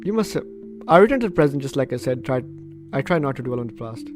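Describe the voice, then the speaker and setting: A gaming host giving casual, animated playthrough commentary and reading game text aloud, with guitar music behind. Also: medium pitch, fast talker. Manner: animated, chatty reactions to the game.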